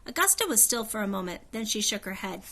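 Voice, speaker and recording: A woman reading a children's story aloud, in an expressive narrating style. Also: mid-range voice, fast. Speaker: storybook reader.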